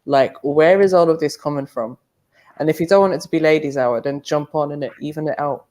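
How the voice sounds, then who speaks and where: A woman's voice: low-pitched, fairly quick, dark tone. Frank woman on a talk panel. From Ireland. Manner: frank and direct, often asks "do you know what I mean". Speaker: podcast panelist. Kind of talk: casual debate chat.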